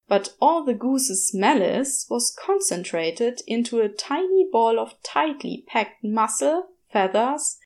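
A woman's voice: on the high side, creaky, darkish tone. A woman reading a story aloud as scripted narration. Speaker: podfic reader.

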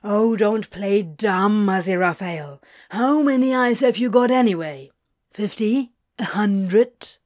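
A woman voicing a swaggering, sardonic male demon in an audio story, with a drawling, forceful delivery. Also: on the low side, fairly even intonation, takes it slowly, hardly any pauses, voice pushed down. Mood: scathing.

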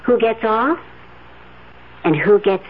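An actress playing a proud, bitter mistress demanding commitment in a 1970s radio drama, speaking in a wry, pointed way. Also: female voice, on the high side, slow, slightly rough. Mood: bitter resolve. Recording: muffled phone-like old radio recording.

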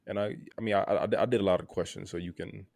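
A man co-hosting a podcast in a casual, reflective way, halting and repeating words, often checking in with "right?". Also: fairly even intonation, darkish tone, a little hiss or hum. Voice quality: gravelly low voice. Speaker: podcast co-host.

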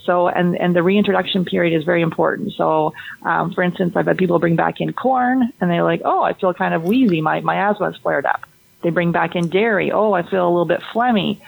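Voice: mid-range voice, brisk, gravelly, breathy edge, poor audio. A fertility health practitioner explaining testing and diet in a conversational interview, often restarting and repeating words.

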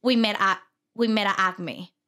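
A woman's voice: medium pitch, normal speed, bright, forceful tone. A woman in her thirties with a Mexican Spanish accent, chatting as a podcast guest, giggly, with loose grammar. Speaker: podcast guest, lifestyle blogger. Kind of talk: casual interview chat.

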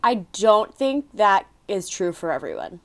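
A woman's voice: medium pitch, big pitch swings, a little slow. Laughing, swearing woman in her late twenties. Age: late 20s. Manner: swears freely, laughs often, lots of "like". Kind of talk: casual chat.

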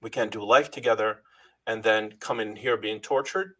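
A man's voice: lowish voice, normal speed, fairly bright tone. Lebanese-born man telling his story earnestly. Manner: earnest and heartfelt, with frequent restarts; religious, thanks God often. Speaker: guest. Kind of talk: personal story in an interview.

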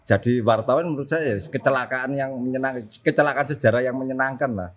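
A man with a Surabaya Javanese accent, talking casually as a journalist guest, relaxed and joking. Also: medium pitch, fast. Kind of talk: casual interview chat.

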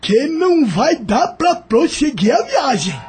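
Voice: high-pitched, big pitch swings, slow, a little mellow, slight background noise, full character voice. An actor in a radio drama playing a humble, deferential rural coachman with rustic speech. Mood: sorrowful, apologetic.

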